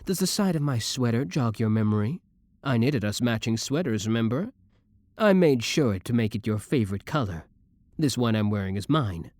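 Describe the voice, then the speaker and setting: A man's voice: mid-range voice, darkish tone, dry recording, studio quality, close to an everyday voice. Swooping, obsessive young yandere boyfriend played by a man. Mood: adoring, pleading. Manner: theatrical, doting endearments, scornful of his rival. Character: clingy, obsessive young male yandere boyfriend, adoring and smug. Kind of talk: scripted audio roleplay acting.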